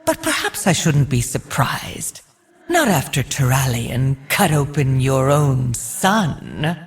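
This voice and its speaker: A woman playing a cruel, mocking villainess in a video game, with a drawn-out theatrical delivery. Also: extremely low pitch, sing-song, full character voice. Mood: mocking disdain.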